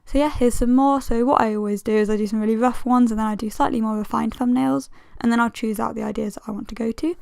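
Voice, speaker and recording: A woman's voice: on the high side, steady tone, quick pace, vocal fry, few pauses, a little mellow, smooth, no echo, clean studio sound. A woman in her twenties with a slightly strained voice, giving a chatty, self-deprecating, rambling commentary as a casual video host. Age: 20s. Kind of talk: casual sketchbook tour commentary.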